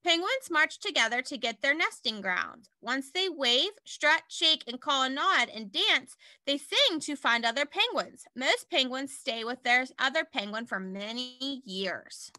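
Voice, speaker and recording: A woman reading aloud to young children like a teacher, in an animated way with simple explanatory asides. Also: high voice, unhurried, hardly any pauses, no room sound.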